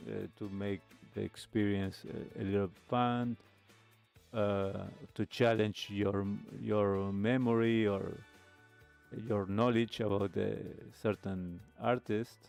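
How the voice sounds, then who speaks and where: A man hosting a live stream radio show, speaking reflectively in a measured, halting way with pauses. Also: fairly low pitch, fairly even intonation, vocal fry, a little mellow, smooth. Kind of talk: reflective host talk, signing off a live stream. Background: music playing under the voice.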